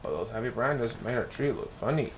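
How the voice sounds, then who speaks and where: A man's voice: mid-range voice, animated, a little fast. Man reading a children's story, noisy recording. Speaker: storyteller reading a picture book. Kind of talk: read-aloud children's story narration.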